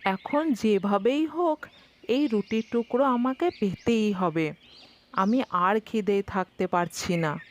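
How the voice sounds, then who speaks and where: A woman voicing a sly, hungry, flattering fox in a children's cartoon story. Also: medium pitch, voice pushed down, natural voice. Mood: hungry scheming. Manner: unhurried, coaxing flattery. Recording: dull lo-fi sound with music behind. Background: music.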